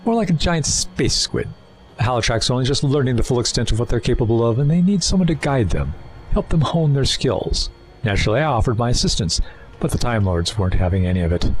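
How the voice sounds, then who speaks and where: An actor in an audio drama playing a suave, erudite renegade Time Lord villain, wordy, condescending and persuasive. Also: male voice, medium pitch, expressive, quick pace, slight background noise, lightly acted, poor audio. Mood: persuasive, scornful. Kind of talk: full-cast audio drama acting.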